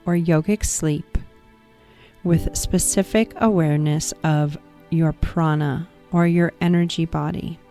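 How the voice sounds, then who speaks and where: A woman guiding a yoga nidra relaxation practice in a calm, soothing, instructive manner. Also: sits low, slow pace, faint music behind. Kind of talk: guided meditation.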